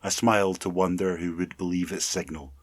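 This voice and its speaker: A man's voice: sits low, steady tone, a little fast, no echo. A man reading a literary poem aloud. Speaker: poetry reader.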